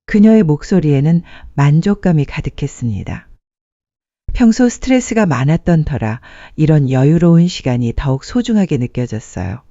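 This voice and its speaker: A woman narrates a scripted, sentimental story. Her tone is mellow and her intonation moves expressively. The sound is very dry and a little dull. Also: deep voice, lively intonation, moderate pace, no room sound.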